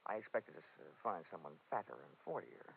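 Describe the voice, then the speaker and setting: An actor playing a wry, flirtatious, hard-boiled insurance investigator in 1940s radio drama style. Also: male voice, low-pitched, expressive, brisk, gravelly. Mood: wry, probing. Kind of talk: 1940s radio detective acting, first-person narration. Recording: scratchy, tinny 1940s radio recording.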